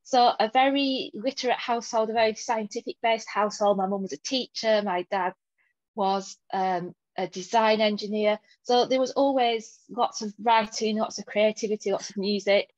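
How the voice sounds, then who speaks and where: A woman's voice: medium pitch, steady tone, normal speed, darkish tone, dry recording, lo-fi sound. A woman from Lancashire with a mild Lancashire accent, answering interview questions as a guest author in an articulate, reflective way. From Lancashire, England.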